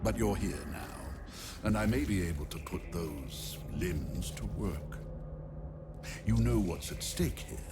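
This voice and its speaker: An actor playing a haughty, sinister necromancer in a video game, with pompous, theatrical, drawling delivery. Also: male voice, low voice, animated, slow pace, background noise. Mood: haughty, dismissive. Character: sinister, haughty male necromancer, vain about his grisly creations. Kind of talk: dramatic video game acting.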